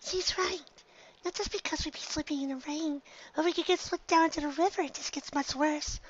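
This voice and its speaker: A man voicing a timid young female pegasus, quiet and squeaky, in dull storybook audio. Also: steady tone, fairly quick, heavy background noise. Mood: worried.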